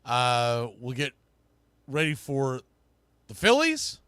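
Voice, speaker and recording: A man hosting a sports podcast with casual live commentary, rambling and halting as he thinks aloud. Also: mid-range voice, wildly swooping pitch, bright, forceful tone, clear, resonant tone, no echo, studio quality.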